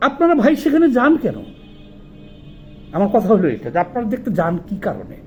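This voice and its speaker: A man's voice: high voice, huge pitch swings, brisk, faint music behind. A man with a Bangladeshi accent giving informal video commentary, indignant and argumentative, posing rhetorical questions in colloquial speech. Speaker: social commentator.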